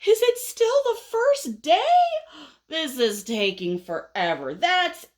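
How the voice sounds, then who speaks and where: A woman voicing a whiny, clueless and excitable young male caterpillar from a storybook, pestering with eager questions. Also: sits high, slow, voice pushed up, exaggerated voice. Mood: whiny, restless. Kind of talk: animated storybook read-aloud.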